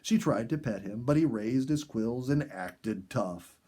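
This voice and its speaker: A man narrating a story in an earnest, dramatic storybook style with emphatic pauses. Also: mid-range voice, animated, mellow, breathy edge. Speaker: audiobook narrator.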